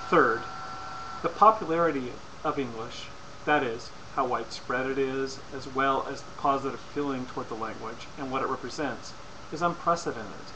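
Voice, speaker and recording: A man reading a scripted persuasive lecture in a formal, deliberate way, occasionally stumbling over words. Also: a little high. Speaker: presenter. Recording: clearly noisy amateur recording.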